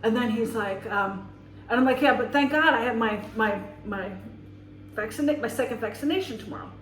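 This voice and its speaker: A woman's voice: a little high, brisk, distant mic, slight background noise. Chatty American woman in an echoey room. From United States. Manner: chatty and rambling, with many fillers and restarts. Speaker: teacher and vlogger. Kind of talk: casual vlog monologue and storytelling.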